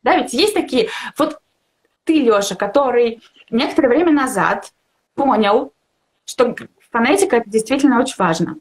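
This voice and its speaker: A woman's voice: on the high side, lively intonation, normal speed. A young woman chatting casually as a language teacher in a live discussion, encouraging and reflective, often searching for words. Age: young adult. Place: indoor room at home, video call.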